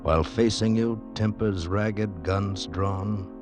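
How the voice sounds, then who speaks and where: A man performing as an ominous announcer in an old radio drama, dramatic and deliberate. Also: fairly low pitch, fairly even intonation, over music. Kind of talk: old-time radio scripted narration.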